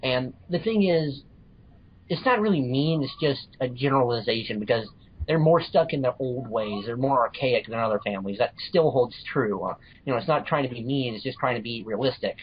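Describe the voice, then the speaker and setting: Husky man on muffled call audio. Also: mid-range voice, lively intonation, brisk. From Missouri, United States. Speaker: podcast panelist. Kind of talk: casual fan discussion.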